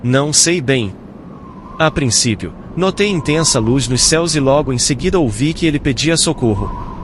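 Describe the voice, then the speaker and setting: Male actor as practical ancient wayfarer, mid-pitched, explanatory, audiobook drama, clear ambient noise. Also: animated, moderate pace, natural voice. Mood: puzzled. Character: practical male travelling companion in ancient times, puzzled.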